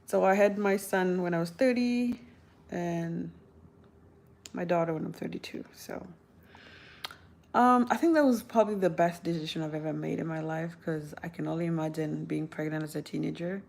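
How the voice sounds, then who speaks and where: Woman, 30s, from South Sudan, chatty vlog Q&A delivery; low, gravelly tone. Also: normal speed, a little mellow. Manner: chatty, laughs often, rambling restarts. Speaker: vlogger answering viewer questions. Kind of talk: casual talk to camera.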